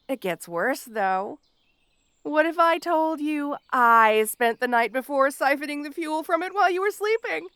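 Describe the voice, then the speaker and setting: High-pitched, gloating female supervillain. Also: animated, fairly bright tone, no room sound, clean studio sound, exaggerated voice. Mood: mocking glee. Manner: theatrical, taunting, mock-sweet, drawn-out words. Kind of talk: scripted audio roleplay addressed to the listener.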